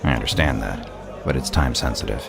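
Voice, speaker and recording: An actor playing a curt, world-weary sheriff with a gravelly voice in an audio drama. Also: male voice, a bass voice, a little fast, over music, crowd noise. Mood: businesslike. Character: gruff wolf sheriff in human form, curt, world-weary.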